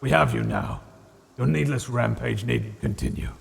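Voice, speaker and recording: A man running a tabletop game as game master, narrating with hesitant pauses and fillers. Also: medium pitch, huge pitch swings, creaky, husky.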